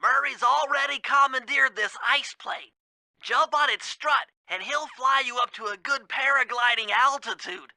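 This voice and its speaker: A man's voice: extremely high pitch, normal speed, bright, forceful tone, dry recording, heavily acted. A man voicing a brainy, anxious animal gadget expert in a cartoon video game, nasal and precise in formal technical phrasing. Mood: matter-of-fact, precise.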